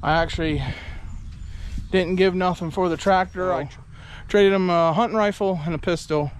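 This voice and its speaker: A man chats folksily into a handheld camera held at arm's length, tired after a long day. His voice sits a touch high for a man. A faint outdoor hum runs underneath. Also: fairly even intonation, moderate pace. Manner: easygoing, folksy and chatty. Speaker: farm vlogger. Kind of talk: casual vlog narration. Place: outdoors on a farm and in a pickup truck. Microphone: handheld action camera at arm's length.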